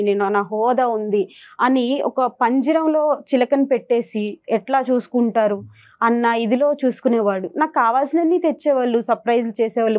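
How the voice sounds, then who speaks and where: Female talk show guest, thirties, high voice, giving a personal confession. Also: darkish tone. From Andhra Pradesh, India. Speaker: guest seeking advice.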